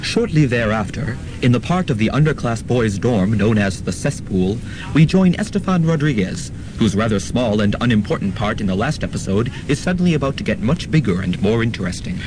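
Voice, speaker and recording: A man playing a measured, deadpan and formal figure in a student radio comedy drama. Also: lightly acted. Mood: wry, announcing. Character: story narrator doubling as politely menacing machete-wielding male psycho. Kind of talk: radio drama narration and acting. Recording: noisy student radio recording with rumble.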